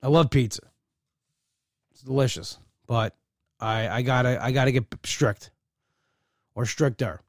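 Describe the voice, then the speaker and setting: A man's voice: medium pitch, unhurried, long pauses, no echo, clean studio sound. A middle-aged man talking casually and candidly in a rambling personal monologue, often restarting his sentences.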